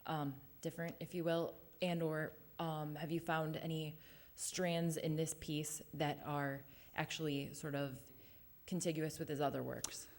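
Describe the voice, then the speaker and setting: A woman's voice: low-pitched, little pitch movement, a little slow, darkish tone. A woman in a live audience asking an informal question, choosing her words carefully and tentatively. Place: live event with studio audience.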